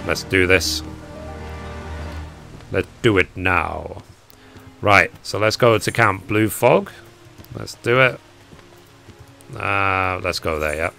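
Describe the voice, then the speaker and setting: A hoarse man talks casually while playing, hosting a gaming guide. His voice is low-pitched with a bright, forceful edge, and faint game music runs underneath. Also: takes it slowly, long pauses. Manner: casual, chatty. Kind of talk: casual gameplay commentary.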